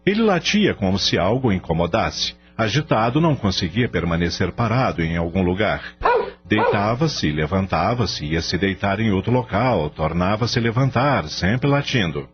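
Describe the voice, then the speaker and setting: A man's voice: fairly low pitch, sing-song, moderate pace. A man narrating a radio novel as scripted dramatic storytelling. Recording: dull radio-like audio, music behind.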